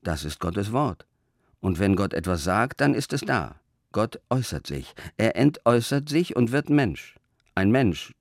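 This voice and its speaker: Guy doing a radio sermon, lowish voice, thoughtful n measured, really dry close sound. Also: a little mellow, no echo. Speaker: preacher hosting a religious radio talk. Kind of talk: scripted sermon narration.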